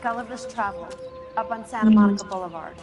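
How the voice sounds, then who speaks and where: A woman's voice: medium pitch, fast, highs cut off, music in the background, close to an everyday voice. A woman playing a concerned neighbor giving a witness account in a video game drama. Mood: troubled.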